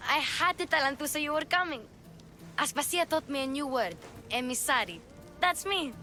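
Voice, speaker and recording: A woman's voice: high voice, animated, moderate pace, sharp tone, a little hiss or hum. A woman voicing a plucky, eager young girl in a video game, blurting things out proudly and chattily. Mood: proud, excited. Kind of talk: video game cutscene acting. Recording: music behind the dialogue.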